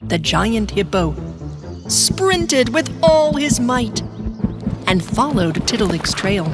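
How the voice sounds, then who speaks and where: A woman's voice: a little high, very animated, takes it slowly. A woman storyteller reading a scripted children's bedtime tale. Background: music.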